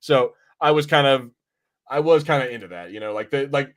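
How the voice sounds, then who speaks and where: A man's voice: mid-range voice, fairly quick. A man hosting a podcast, chatting casually in a rambling way and acting out imagined lines as he reviews. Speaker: podcast host.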